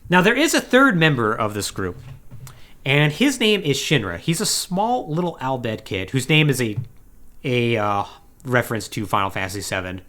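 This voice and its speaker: A man with a mid-pitched, very lively voice tells a story as a podcast co-host. His delivery is casual and emphatic, swinging up and down as he stresses points and drops in wry asides. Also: normal speed. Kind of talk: casual comedic plot recap, conversational.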